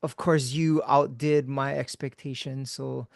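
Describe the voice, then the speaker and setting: A man hosting a podcast, chatting casually with a friend in a relaxed, laid-back way, swearing freely and trailing off into quiet mumbles. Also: mid-range voice, measured pace, gravelly, no echo, studio quality. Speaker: podcast host.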